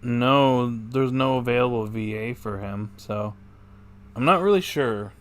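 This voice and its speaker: Offhand male game streamer, mid-pitched. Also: measured pace, clear, resonant tone. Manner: offhand, musing aloud. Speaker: livestreamer. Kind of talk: casual game stream commentary.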